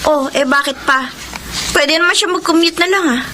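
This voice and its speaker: A Filipino actress playing a jealous, sulky younger sister, a university student, whiny and resentful in a radio drama. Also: female voice, high-pitched, moderate pace, pushed and bright, slight background noise. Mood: pushy, eager. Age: young adult.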